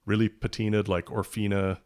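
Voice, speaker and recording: A man's voice: on the low side, flat delivery, brisk, a little mellow. A man being interviewed as a podcast guest, chatting casually and thinking aloud in a rambling, hesitant way. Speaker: podcast guest, writer and product journalist. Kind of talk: casual conversational interview chat.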